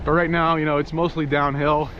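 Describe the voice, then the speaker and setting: A man's voice: fairly high pitch, level tone. A man, talking casually and rambling while he rides a bike on an outdoor rail trail. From New Hampshire, USA. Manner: rambling, easygoing, frequent restarts. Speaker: vlogger. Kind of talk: casual ride-along narration. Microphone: action camera on the rider, wind buffeting. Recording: muffled audio with heavy wind noise. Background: wind rushing past.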